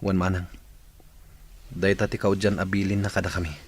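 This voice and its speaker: A man's voice: low-pitched, little pitch movement, moderate pace, breathy edge. An actor with an Ilocano accent playing a troubled, reluctant young man in a radio drama.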